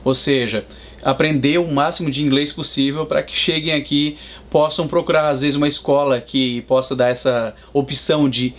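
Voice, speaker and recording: A Brazilian man in his thirties, a father, answering interview questions in a measured, explanatory way. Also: on the high side. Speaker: guest, Brazilian father in Boston.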